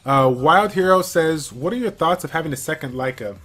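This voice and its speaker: A man hosting a casual game livestream, reading viewer questions from chat and answering in a relaxed, filler-heavy way. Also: on the high side, fairly quick, soft background music. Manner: chatty, many fillers, reads viewer questions aloud. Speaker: stream host.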